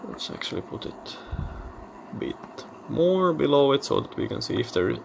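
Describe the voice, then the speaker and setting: A man giving a casual technical demonstration, thinking aloud in a halting, matter-of-fact way. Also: mid-range voice, very animated, gravelly, background noise. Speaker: electronics hobbyist presenter. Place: indoor electronics workbench.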